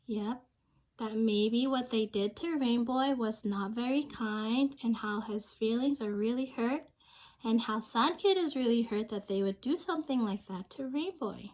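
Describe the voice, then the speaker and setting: A woman's voice: a little high, measured pace, darkish tone. A young woman reading a storybook aloud to children, speaking simply and expressively and prompting her listeners with questions. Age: young adult.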